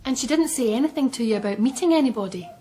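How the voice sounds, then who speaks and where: Radio drama role: actress as brisk female detective, higher-pitched, businesslike, over faint vehicle noise. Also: animated, dark tone, close to an everyday voice. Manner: businesslike, questioning. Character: brisk, matter-of-fact female police detective.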